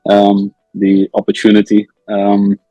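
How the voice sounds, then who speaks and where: A soft-spoken man answers interview questions over a video call. His voice is low-pitched and quiet, heard in a dry, close sound. He talks in a measured way, padding his phrases with "kind of" and "um". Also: monotone, no room sound, highs cut off. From Newcastle, northeast England. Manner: measured, many fillers like "um" and "kind of". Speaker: interview guest, product manager.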